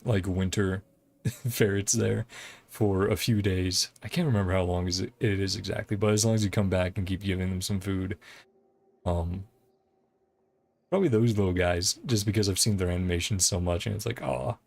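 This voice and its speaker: Male game streamer, lowish voice, casual rambling live commentary, thinking aloud. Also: fairly even intonation, normal speed, gravelly, a little mellow.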